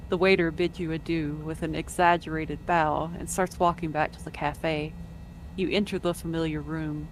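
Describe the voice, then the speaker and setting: A woman's voice: low voice, little pitch movement, normal speed, slight background noise, low-quality recording. A woman narrating a visual novel as a scripted story.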